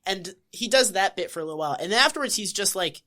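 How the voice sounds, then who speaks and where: A woman co-hosting a podcast, casually recapping a TV episode with profanity and quick acted-out quotes. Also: medium pitch. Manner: animated retelling with put-on quotes, profane, laughing. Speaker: podcast co-host.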